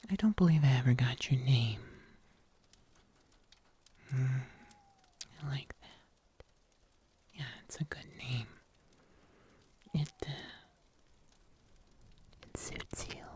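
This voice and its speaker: A man playing a gentle, solicitous huntsman healer in an ASMR roleplay, in a soft whisper with careful pauses. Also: medium pitch, slight background noise. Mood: shy, gentle. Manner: slow, hesitant, careful delivery with long pauses. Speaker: ASMR performer.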